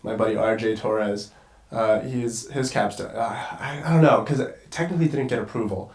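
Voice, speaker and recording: A man's voice: medium pitch, fairly quick, darkish tone, echoey room. A congested young man talking as a guest cinematographer in a casual conversation, rambling and swearing casually. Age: young adult.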